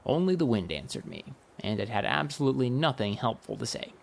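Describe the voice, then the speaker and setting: A man narrating a first-person comic fantasy audiobook in a wry, expressive storytelling manner. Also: mid-range voice, a little fast, gravelly.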